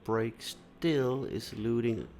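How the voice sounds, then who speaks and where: A man from Denmark giving calm solo commentary on a pool match, explaining shots and answering viewer chat. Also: medium pitch, takes it slowly, slight background noise. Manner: relaxed, explanatory, many "uh" fillers.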